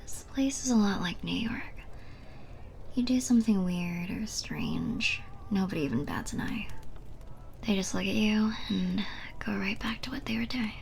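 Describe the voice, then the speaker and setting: A woman playing a flirty, playful bartender in an ASMR roleplay, speaking in a soft, intimate, breathy half-whisper. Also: mid-range voice, normal speed, a little hiss or hum, close to an everyday voice. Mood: flirty, teasing.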